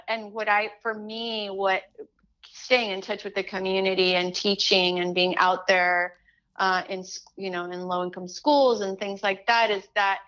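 A woman's voice: medium pitch, a bit bright, clean tone, dull audio. A woman from Chile and the United States giving earnest, emphatic, conversational interview answers as a musician, often repeating her phrases. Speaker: musician, singer-songwriter and teaching artist.

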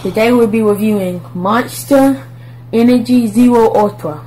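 A male amateur reviewer with a speech impediment talks casually through a product. His homemade recording has clear noise and hum behind the voice. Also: sits high, a little slow, dark tone. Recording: noisy, humming homemade video audio.

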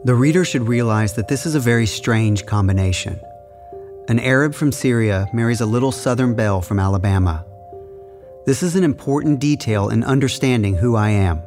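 An actor reading, in measured tones, as a wry young man recounting his own life. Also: mid-range voice, lively intonation, darkish tone, faint music behind, lightly acted. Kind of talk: reading from an autobiography.

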